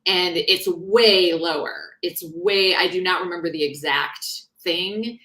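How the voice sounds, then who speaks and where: A woman author talking in a conversational interview, explaining things in an animated, informal way. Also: on the low side, slow pace. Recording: roomy, echoing sound.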